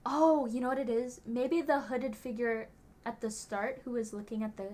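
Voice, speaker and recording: A young woman with a bright, high-pitched voice reacts to an anime alongside a friend. She talks casually and breaks into excited gasps and squeals. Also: moderate pace.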